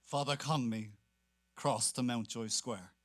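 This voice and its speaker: A man's voice: mid-range voice, fairly even intonation, measured pace, fairly bright tone, dry recording. Dry-sounding male literary narrator. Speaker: narrator in a staged reading.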